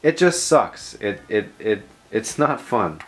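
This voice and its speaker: A man's voice: lowish voice, sing-song, slow pace. A man narrating a personal vlog in an informal, unscripted, self-deprecating way, rambling as he goes. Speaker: video host.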